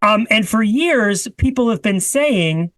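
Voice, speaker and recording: A man's voice: high voice, lively intonation, takes it slowly, fairly bright tone, no echo, clean studio sound, soft background music. A man hosting a casual interview, chatty, with many restarts and easy laughter. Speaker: podcast host.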